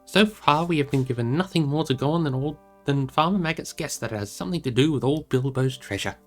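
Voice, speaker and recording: A man's voice: mid-range voice, fast, soft background music, natural voice. Man voicing eager, lifted-voice young hobbit Pippin. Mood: frank. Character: cheerful, frank young male hobbit, Pippin.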